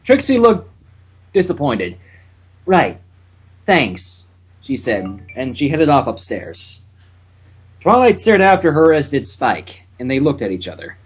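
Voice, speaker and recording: A man's voice: mid-range voice, wildly swooping pitch, measured pace, slightly rough. A man reading a fan story aloud, a bit hesitant at times. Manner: hesitant, with fillers and restarts. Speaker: fanfic reader.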